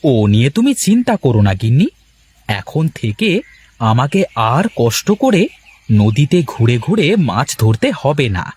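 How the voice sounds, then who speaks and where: A man voicing an excited, boastful village fisherman in a cartoon folk tale, coaxing and secretive. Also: mid-range voice, moderate pace, breathy edge. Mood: reassuring, proud. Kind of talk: cartoon moral-tale dialogue.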